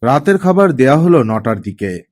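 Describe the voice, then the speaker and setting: Mellow, animated man narrating a story. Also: mid-range voice, normal speed. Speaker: audiobook narrator. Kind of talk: scripted story narration, dramatized dialogue.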